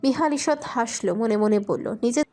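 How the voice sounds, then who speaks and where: A woman's voice: on the high side, normal speed, mellow. A woman reading scripted romantic fiction as a narrator.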